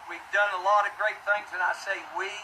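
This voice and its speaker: A man giving press conference remarks as a city official, in a halting, plainspoken, folksy manner. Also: high-pitched, flat delivery, sharp tone. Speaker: city official speaking at an announcement. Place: public announcement event. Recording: noisy distant mic, ambient background hum.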